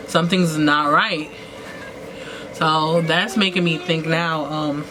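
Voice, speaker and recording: A woman talking casually as she gets ready, rambling with lots of "like"s and restarts and jumping up in pitch for emphasis. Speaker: vlogger. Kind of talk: casual storytelling while getting ready. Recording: noisy home recording.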